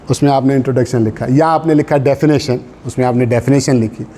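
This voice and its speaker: A man's voice: mid-range voice, mellow, slight background noise. A man with a Pakistani accent giving informal exam coaching, instructive, mixing in English terms and checking in with short tags. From Sindh, Pakistan. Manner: switches into English terms and phrases. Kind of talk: informal classroom lecture with exam advice.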